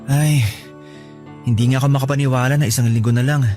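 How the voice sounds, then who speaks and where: Casting: man playing affectionate Filipino groom-to-be, medium pitch, sincere radio melodrama with soft music. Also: steady tone, fast, close to an everyday voice. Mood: loving, earnest. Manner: affectionate, earnest. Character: devoted asthmatic groom-to-be, loving, earnest. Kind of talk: Filipino radio drama acting.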